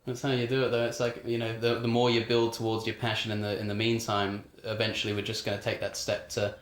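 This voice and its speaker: A man's voice: on the low side, completely flat delivery, fast. A man hosting a casual group chat, encouraging and backing up the guests with lots of mm and yeah. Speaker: host. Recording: roomy echo.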